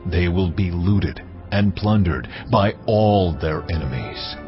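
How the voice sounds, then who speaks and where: A man playing a stern, wrathful prophet declaring divine judgment slowly and solemnly in a dramatised scripture reading. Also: low-pitched. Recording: slightly dull audio under loud music.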